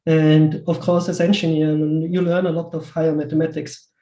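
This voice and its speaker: Echoey, mellow Austrian man in conversation. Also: fairly high pitch, flat delivery, normal speed. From Western Austria. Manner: hesitant, looping delivery with repeated words and many "like" asides. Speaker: interview guest, pixel artist. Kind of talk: casual interview talk. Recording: roomy, echoey sound.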